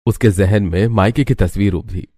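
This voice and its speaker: A male narrator telling a dramatic scripted story. His voice is deep and dark, and soft music plays behind him. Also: normal speed.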